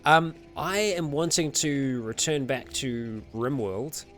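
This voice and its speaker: A man's voice: medium pitch, slow, a bit bright, faint music behind. A man hosting a casual livestream and answering viewer comments, rambling a little with fillers, self-corrections and frequent laughs. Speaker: streamer host.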